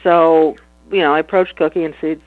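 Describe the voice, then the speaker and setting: A woman with a slightly low speaking voice, a musician chatting casually about her music in an interview, relaxed and laughing easily. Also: fairly quick, no room sound. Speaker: musician guest. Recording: tinny phone line.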